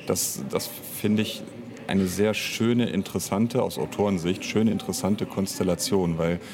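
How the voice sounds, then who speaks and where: A man's voice: low voice, fairly even intonation, normal speed, background noise. A man speaking as an interview guest in casual conversation, searching for words as he explains at length. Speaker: interview guest, audio drama author and director.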